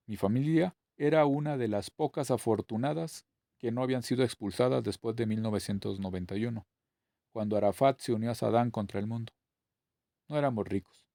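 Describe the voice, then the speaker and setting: A man's voice: fairly low pitch, warm tone, no room sound, studio quality. A man reading a novel aloud as scripted narration. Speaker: audiobook reader.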